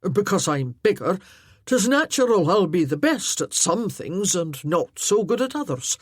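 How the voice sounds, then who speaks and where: An earnest giant of a Highland warrior, voiced by a woman reading the story aloud. He talks loudly and sincerely, his pitch rising and falling freely. The recording is close and dry. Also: sing-song, measured pace, husky, no room sound, exaggerated voice. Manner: earnest, chatty, loud. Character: huge earnest male Highland warrior, former blacksmith.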